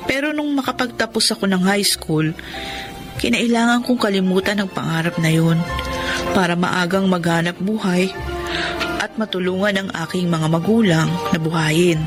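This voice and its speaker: A woman's voice: lowish voice, normal speed, over music, close to an everyday voice. A young woman acting a humble, dutiful housemaid from a poor family in a Filipino melodrama, speaking politely and deferentially. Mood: wistful. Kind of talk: Filipino melodrama acting with first-person narration.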